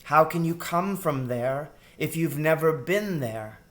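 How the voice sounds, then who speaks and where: A man voicing a gentle, polite adult female mouse in a storybook, asking things patiently. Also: a little high, lively intonation, takes it slowly, voice pushed up. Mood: puzzled. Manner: mild, patient, questioning. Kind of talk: storybook character voice in a read-aloud.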